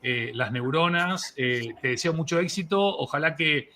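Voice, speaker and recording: Male live-show host, slightly high and forceful, effusive and conversational, with some background noise. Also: normal speed. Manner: effusive, enthusiastic praise of guest. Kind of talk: casual, unscripted interview chat.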